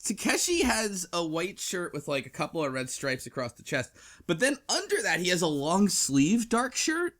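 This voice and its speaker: A man hosting a podcast, recapping casually in a conversational, slightly halting way. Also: high voice, big pitch swings, fairly bright tone. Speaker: book club podcast host.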